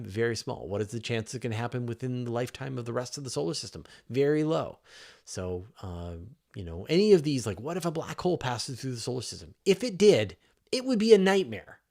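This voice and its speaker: A man's voice: medium pitch, a little mellow. A middle-aged man hosting a casual question-and-answer stream, explaining science off the cuff in an emphatic, conversational way. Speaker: host answering live audience questions on space and astronomy.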